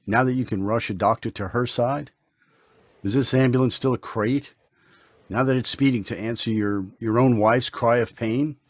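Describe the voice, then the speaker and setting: A man playing a steady, solemn, dutiful ambulance partner in an old-time radio drama, speaking gravely and insistently. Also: mid-range voice, normal speed, lightly acted. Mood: probing, grave.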